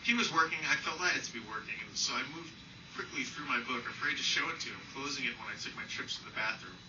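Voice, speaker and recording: A man's voice: mid-range voice, expressive, quick pace. Man, author reading live, wry and self-deprecating, echoey room, far from the mic. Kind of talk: live reading of a personal essay. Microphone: distant from the speaker. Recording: distant, roomy, muffled, noisy live recording.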